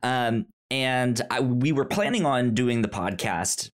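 A man's voice: medium pitch, expressive, slow. A young man hosting a podcast, telling a story in casual chat and often stammering over repeated syllables and words. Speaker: podcast host.

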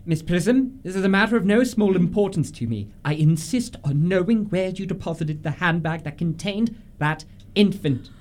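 A man playing an earnest young English gentleman, formal and insistent, in a comic radio play. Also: high voice, expressive, moderate pace. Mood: urgent, agitated. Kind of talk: stage comedy radio acting.

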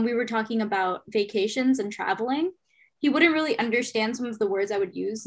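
A young woman, an education student, leading the podcast discussion in an encouraging way. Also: a little high. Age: young adult.

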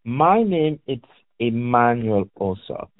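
Slow-speaking Cameroonian man on a tinny line. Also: mid-range voice, very animated. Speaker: guest reader, educator and engineer. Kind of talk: phone interview with reading aloud from a book. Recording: echoey, tinny phone-like line.

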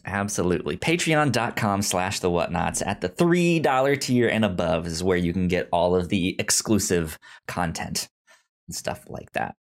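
A man's voice: low-pitched, lively intonation, moderate pace. A man co-hosting a podcast, chatting casually and often stammering over repeated words. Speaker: podcast co-host. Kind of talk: casual film podcast chat.

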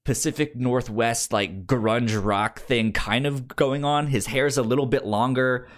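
Halting, stammering man in casual chat. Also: medium pitch, steady tone. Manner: halting, repeats and stutters on word starts. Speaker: comics podcast host. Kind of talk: casual chat about comics.

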